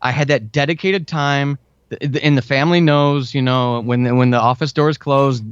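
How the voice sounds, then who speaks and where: A man's voice: mid-range voice, steady tone, moderate pace, clean tone, no echo. Enthusiastic man, dull dry recording. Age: 40s. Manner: enthusiastic, earnest. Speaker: guest, fiction and audio drama writer. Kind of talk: casual conversational interview. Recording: dull, lo-fi band-limited audio.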